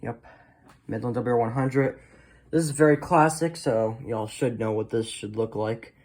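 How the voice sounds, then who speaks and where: Guy doing a casual collection video, medium pitched voice, kinda rambly and trails off. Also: steady tone, moderate pace, darkish tone. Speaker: hobbyist collector presenting a video. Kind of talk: casual show-and-tell chat.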